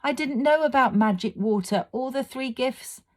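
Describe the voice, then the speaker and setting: Woman voicing an earnest young girl, high and breathless. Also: normal speed, close to an everyday voice. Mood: pleading. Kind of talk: dramatised storybook reading.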